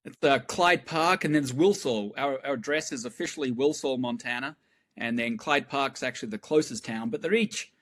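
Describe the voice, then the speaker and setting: A middle-aged man from Australia, a guest talking in a relaxed, rambling way with fillers. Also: medium pitch, moderate pace. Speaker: guest astrophysicist. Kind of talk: casual chat.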